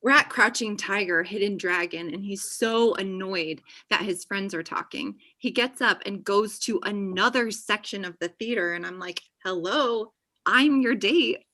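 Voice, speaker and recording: Actress as chatty, possessive best friend, thirty, female, bright forceful voice, comedy table read. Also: mid-range voice, a little slow. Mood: gushing, teasing. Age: young adult. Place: indoor room on a video call. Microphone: video call.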